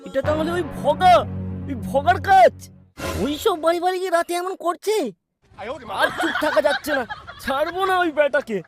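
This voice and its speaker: Cartoon village elder , female performer: high voice, indignant, cautious schemer, clear music underneath. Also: moderate pace. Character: older village father-in-law, indignant yet cautious schemer. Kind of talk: cartoon dialogue.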